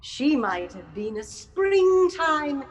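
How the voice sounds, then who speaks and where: A stern ghostly spirit in a radio play, in a long cavernous reverb. The voice is fairly high and extremely slow, each phrase solemn and portentous. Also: female voice, very animated, exaggerated voice. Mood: stern, relentless. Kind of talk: theatrical audio drama acting.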